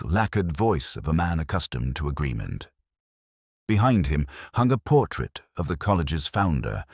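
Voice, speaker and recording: A man playing a brooding, erudite detective inspector, narrating his case in a measured, literary and wry manner. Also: very deep voice, a little mellow, breathy edge, no room sound, studio quality, heavily acted. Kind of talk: scripted first-person mystery narration.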